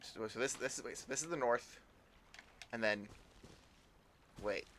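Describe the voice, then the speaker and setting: A man's voice: medium pitch, measured pace, fairly bright tone, a little hiss or hum. A man giving casual live commentary as a game streamer, often stammering and repeating words as he thinks aloud.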